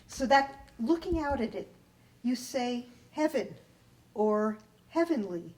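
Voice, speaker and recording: A woman reading poetry at a live event, deliberately, with pauses between phrases. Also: mid-range voice, a little mellow, slight background noise, low-quality recording.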